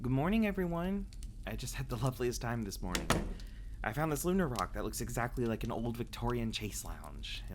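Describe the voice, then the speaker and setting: A man's voice: mid-range voice, sing-song, a little fast, background noise, close to an everyday voice. A man voicing a genteel, plaintive sentient star who rules over vampires, in an audio roleplay. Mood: cheerful, dreamy. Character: guilt-ridden, lofty sentient star, reluctant ruler of vampires. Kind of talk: ASMR audio roleplay acting.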